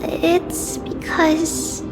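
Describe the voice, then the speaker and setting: An actress playing a gentle young girl oracle in a game, speaking in a solemn, measured and formal storytelling manner. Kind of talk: English game voice acting. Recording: loud music behind.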